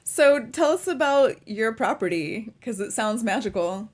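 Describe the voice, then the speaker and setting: Slow-paced woman interviewer. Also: fairly high pitch, animated, a little mellow. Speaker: interviewer, host. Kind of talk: casual, conversational interviewing. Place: remote online conversation.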